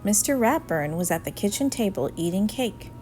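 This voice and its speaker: A woman narrating a children's storybook in an expressive, scripted read-aloud style. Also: mid-range voice, moderate pace. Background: music.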